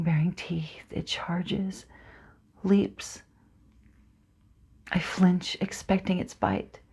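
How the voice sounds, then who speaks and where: A woman performing a monologue as a teenage Kurdish girl, telling her story in a hushed, poetic way. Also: on the low side. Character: teenage Kurdish girl, haunted former sniper.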